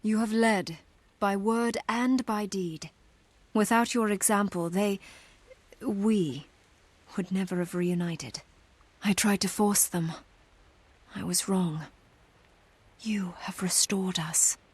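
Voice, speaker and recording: An actress playing a grave, sincere leader in a video game, speaking in a solemn, measured way. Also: female voice, mid-range voice, fairly even intonation, husky, dry recording. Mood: sincere humility. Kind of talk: video game cutscene acting.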